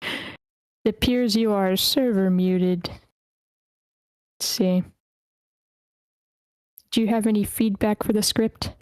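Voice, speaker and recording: A woman's voice: mid-range voice, steady tone, slow, pauses often, darkish tone, smooth, no echo, poor audio. A woman hosting an online group feedback session, speaking as a moderator to the participants. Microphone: online voice meeting.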